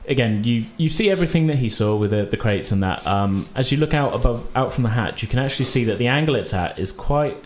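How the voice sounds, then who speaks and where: A man's voice: mid-range voice, expressive, fast talker, hardly any pauses. A man narrating a tabletop role-playing game as game master, conversational, loosely describing things as he goes. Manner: conversational, hedging descriptions with "kind of" and "sort of".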